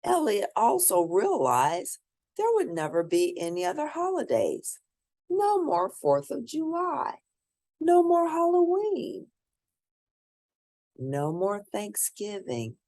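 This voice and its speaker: A woman reading a children's picture book aloud, expressively, with theatrical, drawn-out emphasis for young listeners. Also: medium pitch, huge pitch swings, a little mellow, no room sound, studio quality. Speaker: storybook reader.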